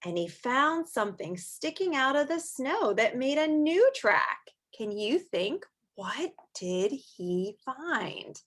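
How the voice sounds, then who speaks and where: A woman's voice: high voice, big pitch swings, takes it slowly, fairly bright tone. A woman reading aloud to young children like a teacher, drawing out the story words expressively and adding chatty asides and questions.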